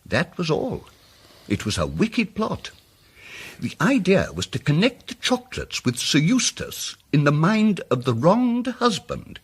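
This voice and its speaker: An actor playing a mild, muddled amateur detective in a radio drama, speaking with hesitant, fussy precision and old-fashioned politeness. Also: male voice, a little high, wildly swooping pitch, unhurried, slightly rough, full character voice. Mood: earnest, explanatory. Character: mild, muddled, apologetic male amateur detective explaining his deductions.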